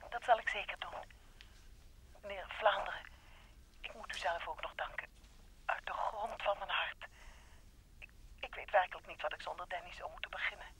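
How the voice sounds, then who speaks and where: A woman's voice: fairly low pitch, normal speed, pauses often, fairly bright tone, lightly acted. An actress playing an earnest, emotional woman in an old Dutch radio mystery. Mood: grateful, earnest. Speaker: radio drama actor. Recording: noisy vintage radio recording.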